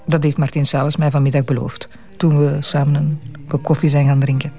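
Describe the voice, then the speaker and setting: An actress playing a detective's teasing, self-assured wife in a radio drama, with playful, colloquial Flemish speech. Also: female voice, low voice, level tone, a little fast, warm tone, soft background music. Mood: firm, reassuring. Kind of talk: naturalistic radio drama dialogue.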